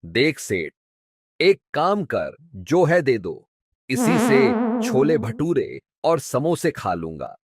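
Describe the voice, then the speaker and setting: A man's voice: medium pitch, wildly swooping pitch, slow, breathy edge, dry recording, studio quality, full character voice. A man playing a humble, cheeky young village sculptor in a cartoon story, complaining in a comic, dramatic, colloquial way. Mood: comic grumbling. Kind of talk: Hindi cartoon story dubbing.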